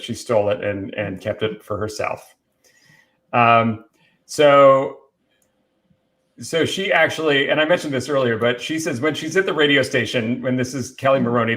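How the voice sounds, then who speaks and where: A man's voice: medium pitch, a bit bright. A man chatting casually and enthusiastically as a guest presenter, telling bits of film trivia.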